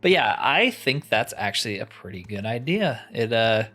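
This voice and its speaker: A man's voice: fairly low pitch, very animated, moderate pace, pushed and bright. A man giving casual let's play commentary, chatty and informal as he thinks aloud. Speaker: gaming channel host.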